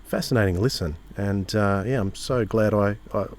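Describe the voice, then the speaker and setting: A man with a fairly low voice co-hosts an interview. He speaks casually and conversationally to the guest. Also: moderate pace, a little mellow. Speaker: podcast co-host.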